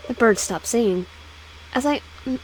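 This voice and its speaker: A woman's voice: on the high side, expressive, moderate pace, a little hiss or hum, low-quality recording. A woman casually reading a visual novel game aloud with personal asides, often stumbling over words and repeating phrases. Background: background music.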